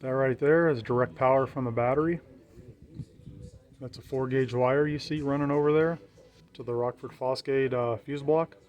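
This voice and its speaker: A man's voice: mid-range voice, fairly even intonation, normal speed, darkish tone, lo-fi sound. A man narrating a casual hands-on walkthrough of a vehicle installation, speaking in a plain, matter-of-fact way as he films. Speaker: vlogger showing a vehicle radio installation. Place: outdoors around and inside a parked truck.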